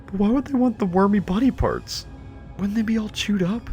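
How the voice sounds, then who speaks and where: A man's voice: high voice, normal speed, a little mellow, faint music behind. A man playing an anxious, naive and earnest young investigator in a scripted web horror drama, hesitant and full of questions. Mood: anxious, curious.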